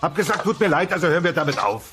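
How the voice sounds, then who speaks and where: Blustering, animated dinosaur husband. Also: male voice, on the high side, racing, noisy background. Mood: wheedling, sheepish. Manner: blustering, defensive, wheedling. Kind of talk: dubbed puppet sitcom dialogue.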